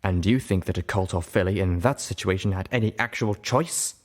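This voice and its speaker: A man voicing a refined, haughty, sharp-tongued lady unicorn with prim, formal phrasing in a storybook reading. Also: low-pitched, brisk, close to an everyday voice. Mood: indignant.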